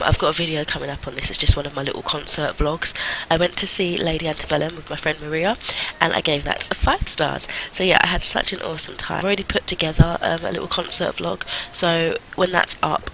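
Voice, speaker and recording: Guy with a cold, kinda high voice, chatty film vlog, laughs at himself, noisy recording with clicks. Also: creaky, bright, forceful tone. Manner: chatty and self-mocking, laughs often. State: has a cold. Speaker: film review vlogger. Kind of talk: casual monthly film wrap-up.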